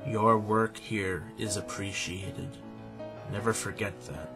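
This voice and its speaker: Male actor as weary stubborn boss, audio drama, measured authority, lowish slow voice. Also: steady tone, music in the background. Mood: curt, restrained. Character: weary, stubborn male company head, grieving father.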